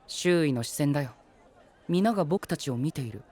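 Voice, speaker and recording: A man's voice: a little high, animated, normal speed, a little mellow, some chatter behind. A man playing a proper, concerned young knight in an anime-style voice drama, speaking formally and earnestly. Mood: curious, uneasy. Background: music.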